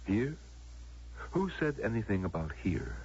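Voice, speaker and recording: An actor playing a solemn, knowing man who grants wishes, gravelly and persuasive in a radio drama style. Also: on the low side, sing-song, a little mellow, full character voice. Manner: measured, grave, persuasive, theatrical. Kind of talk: American radio drama acting. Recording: muffled, lo-fi old radio broadcast.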